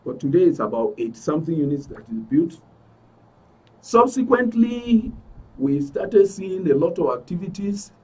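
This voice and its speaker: Husky Nigerian man, picked up from afar. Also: high voice, sing-song, moderate pace, mellow, distant mic, low-quality recording. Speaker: studio guest, aggrieved club member. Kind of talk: spoken account in a broadcast interview. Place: radio and TV studio.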